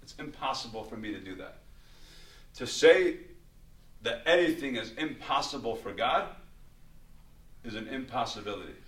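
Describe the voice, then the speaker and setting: A man's voice: mid-range voice, animated, normal speed, pauses often, a bit bright, husky, rough recording. A man teaching a religious study class in an informal way, often repeating words and restarting his sentences as he explains. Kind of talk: informal religious lecture with questions.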